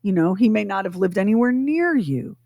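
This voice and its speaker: A woman's voice: medium pitch, expressive, fairly quick, darkish tone, dry recording. Dry studio sound, conversational woman host. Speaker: radio show co-host. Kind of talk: casual conversational podcast talk.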